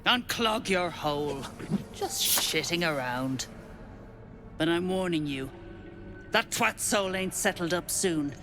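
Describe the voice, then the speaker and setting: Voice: medium pitch, expressive, slow, pauses often, over music. An actor playing a crude, foul-mouthed dwarf guard in a video game, blunt and mocking. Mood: gruff, threatening.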